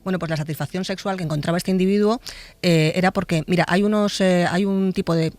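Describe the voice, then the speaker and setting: Fast-talking Spanish forensic doctor, woman. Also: on the low side, fairly even intonation, a little mellow, dry recording, soft background music. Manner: explanatory, with fillers. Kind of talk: interview answers.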